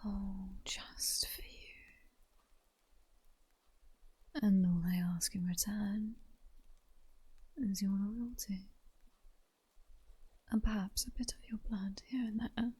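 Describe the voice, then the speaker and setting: A woman playing a seductive, mocking vampire queen in an ASMR roleplay, with a British accent, speaking in a breathy, teasing, commanding whisper. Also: mid-range voice, fairly even intonation, warm tone. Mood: praising, seductive. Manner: slow, teasing, sultry and commanding. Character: seductive, mocking vampire queen toying with her captured hunter.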